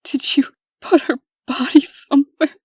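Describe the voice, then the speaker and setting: A woman's voice: high-pitched, fairly even intonation, normal speed, darkish tone, dry recording, studio quality, lightly acted. A woman playing a proud, earnest official who speaks formally and firmly, in a comic dub. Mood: distraught, angry. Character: proud, composed woman official, anxious for her sister. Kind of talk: comic dub dramatic acting.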